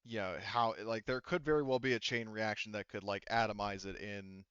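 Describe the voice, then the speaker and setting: A man speaking casually as a game master, explaining things in a rambling, halting way with some swearing. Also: on the low side, steady tone, a bit bright, smooth, dry recording, muffled recording. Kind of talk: casual improvised explanation of tabletop game rules and lore.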